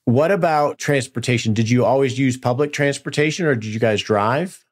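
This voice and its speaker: A man's voice: medium pitch, moderate pace. An American man hosting a podcast, asking questions in a conversational interview style. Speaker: podcast host interviewing guests.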